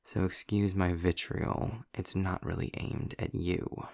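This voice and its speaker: A man playing a sarcastic, articulate demon in an audio roleplay, with a gravelly, breathy voice and wry, formal delivery. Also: low voice, little pitch movement, a little mellow, no room sound, studio quality. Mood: testy, disdainful. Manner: wry, formal wording, deliberate pauses. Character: sarcastic, snarky male demon, articulate and testy. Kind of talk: scripted audio roleplay monologue addressed to the listener.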